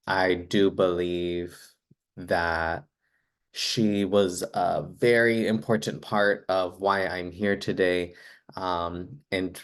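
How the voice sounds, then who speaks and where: A young man from Hawaii, a hula teacher introducing himself in a soft-spoken way, with frequent ums and pauses. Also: low-pitched. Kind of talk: personal self-introduction.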